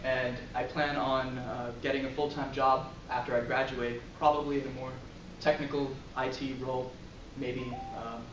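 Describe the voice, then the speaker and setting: A man's voice: medium pitch, level tone, moderate pace, few pauses, noisy background. A young man giving a casual class presentation about himself, with frequent ums and light chuckles. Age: young adult. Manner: casual, filler-heavy, chuckles often. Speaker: student presenter. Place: lecture room, in front of a projector screen. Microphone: far camera. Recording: roomy, distant camera audio.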